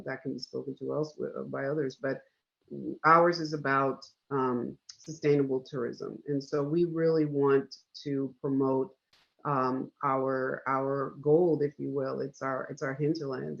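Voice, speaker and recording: A man from Guyana with an American accent, speaking earnestly on a video call panel and filling his pauses. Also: medium pitch, creaky. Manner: earnest, measured, with many fillers. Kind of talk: panel discussion. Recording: muffled video call audio.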